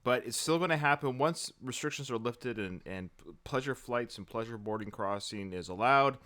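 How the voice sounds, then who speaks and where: A man's voice: mid-range voice, normal speed. Enthusiastic man in his forties, podcast host. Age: 40s. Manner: animated, fan-like enthusiasm with frequent restarts. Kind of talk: casual podcast chat.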